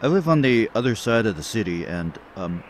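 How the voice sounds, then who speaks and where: A man's voice: lowish voice, sing-song, brisk, a little hiss or hum. A man playing a timid commoner, hesitant and deferential, in an audio drama. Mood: nervous, pleading. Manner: hesitant, polite.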